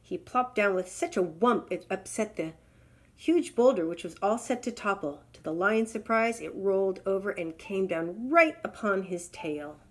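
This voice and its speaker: A woman's voice: mid-range voice, normal speed. A woman storyteller reading a children's tale aloud in a dramatic, emphatic way.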